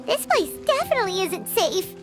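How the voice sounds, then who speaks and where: A tiny fairy sidekick from a video game, childish and squeaky. The voice is extremely high and very animated, full of naive exclamations, with music playing behind it. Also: female voice, normal speed. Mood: puzzled, wary. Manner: exclaiming, speaks of self in third person. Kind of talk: video game character acting.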